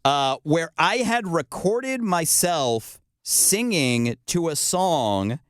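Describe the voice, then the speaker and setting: A man in his thirties hosts an upbeat interview with a lively, widely swinging voice. His pitch sits a little high, and his frequent laughs jump higher still. The sound is close and dry, with little room around it. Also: extremely slow, no echo. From Montreal, Canada. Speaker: podcast host.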